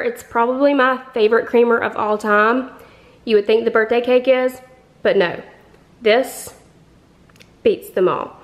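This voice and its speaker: A woman, chatting excitedly to viewers in a casual haul vlog. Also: on the high side. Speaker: vlogger. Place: home kitchen and store aisles.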